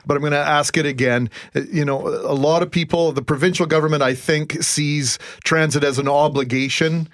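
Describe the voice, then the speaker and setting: A man's voice: on the high side, steady tone, moderate pace, hardly any pauses, fairly bright tone, no echo. A man hosting a radio interview, asking direct, persistent questions. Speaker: radio host. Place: radio studio.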